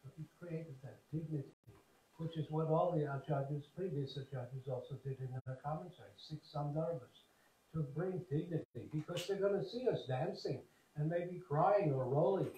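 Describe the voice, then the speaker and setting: Wry older-sounding monk in an echoey hall. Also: male voice, fairly high pitch, mellow, dull audio. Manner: wry, digressive, halting with fillers. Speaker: senior monk speaker. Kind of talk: devotional talk with anecdotes. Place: temple hall. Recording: roomy hall, voice from far off, faint noise.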